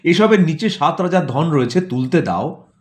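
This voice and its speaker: A man's voice: on the high side, lively intonation, normal speed, lightly acted. A man voicing a greedy capitalist tycoon who makes wheedling demands in a satirical audio story.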